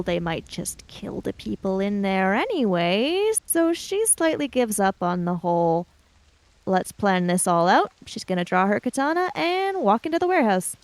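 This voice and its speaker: A woman narrating her character's actions in a tabletop roleplaying game, in halting, deliberate phrases. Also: mid-range voice, no echo, poor audio.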